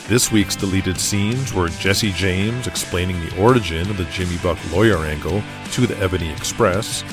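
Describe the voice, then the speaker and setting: Brief: male narrator-host, somewhat low voice, scripted dramatic storytelling, guitar music bed.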